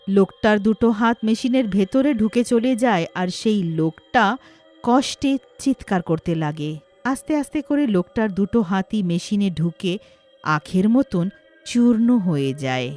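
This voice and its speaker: Lady narrating a scary folk story, slow, lots of pitch movement, music behind. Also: a little mellow. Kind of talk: scripted narration of a moral horror tale.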